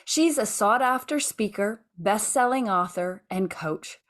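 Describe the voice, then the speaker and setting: A woman's voice: mid-range voice, expressive, unhurried. A woman hosting an interview podcast, talking enthusiastically and effusively, often restarting her phrases. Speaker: podcast host.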